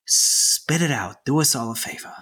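An actor playing a hungover, irritable young boyfriend who is groggy, defensive and sarcastic. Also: male voice, medium pitch, expressive, normal speed, bright, forceful tone, breathy edge. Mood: grumpy. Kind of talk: audio drama acting.

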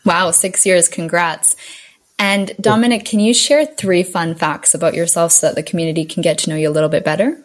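A woman's voice: fairly low pitch, steady tone, brisk. A woman from Argentina hosting a podcast interview, asking questions in a friendly, upbeat way.

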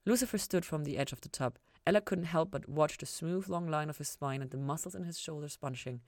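A woman's voice: low-pitched, fairly quick, darkish tone, dry recording. A woman reading fan fiction aloud as scripted story narration.

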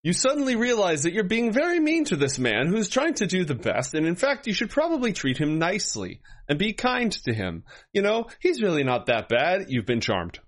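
A man serving as game master, narrating a tabletop game in a relaxed, hesitant way. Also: sits high, normal speed, hardly any pauses.

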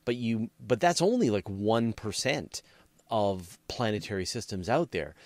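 A man with a fairly low voice hosts an interview in a casual, conversational way. He thinks aloud through long, informal questions, recorded dry with no room sound. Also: expressive, measured pace, a little mellow, no room sound. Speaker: interviewer, host.

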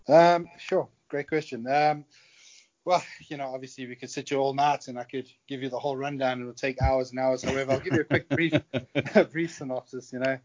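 South African man in his thirties, talkative guest, mid-pitched voice, muffled lo-fi call audio. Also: fairly even intonation, fairly quick. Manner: chatty, warm, chuckles often. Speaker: guest, security contractor. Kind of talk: casual interview storytelling.

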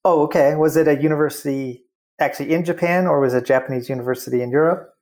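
A man hosting an interview segment, chatty and friendly, full of fillers and quick follow-up questions. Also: fairly high pitch, steady tone, a little mellow. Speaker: interview host. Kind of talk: casual interview.

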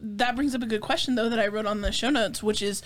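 Brief: woman co-host, slightly high voice, dry and matter-of-fact. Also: steady tone, fast talker, a little hiss or hum. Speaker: financial planner, co-host. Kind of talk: casual chat.

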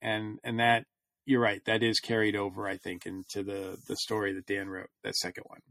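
A man's voice: fairly low pitch, monotone, moderate pace, vocal fry, fairly bright tone, dry recording. A man on a podcast panel talking through a casual review, rambling a little as he thinks aloud.

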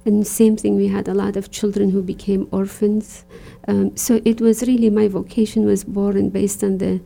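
Gravelly, dark-voiced woman from Iraq. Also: medium pitch, level tone, normal speed. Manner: reflective, earnest, many fillers like "you know". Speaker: religious sister, guest. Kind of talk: conversational interview.

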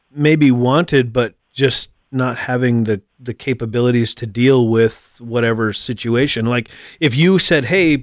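A middle-aged American man hosting a casual conversational interview, thinking aloud in a wordy, halting way and often rephrasing. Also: medium pitch, no room sound, clean studio sound. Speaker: podcast host.

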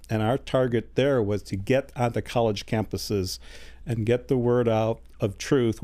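A man in his sixties, a studio interview guest, answering in a measured way with many hesitant fillers. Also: mid-range voice, warm tone. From United States.

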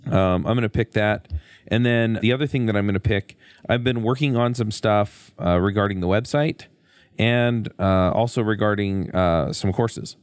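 A male podcast host with a fairly low voice talks casually and conversationally. His recording is very dry, with no room sound. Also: normal speed, dry recording. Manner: conversational, informal.

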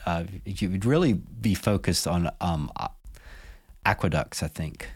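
A man's voice: low voice, a little slow, breathy edge. A man co-hosting a podcast in casual chat, rambling and playful with many restarts. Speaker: podcast co-host.

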